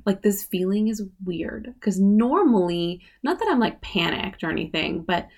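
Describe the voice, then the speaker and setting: A woman's voice: on the low side, creaky, darkish tone. A woman in her late thirties hosting a podcast, talking casually on her own and filling her speech with "like" and "you know what I mean". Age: 30s. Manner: chatty, many fillers like "like" and "you know". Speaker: podcast host. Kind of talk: casual solo podcast talk.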